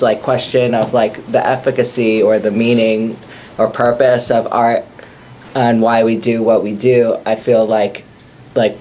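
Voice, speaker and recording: A man speaking as an artist on a panel, halting and filling his sentences with "like". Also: mid-range voice, darkish tone, a little hiss or hum. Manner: halting, full of "like" fillers. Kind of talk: panel discussion.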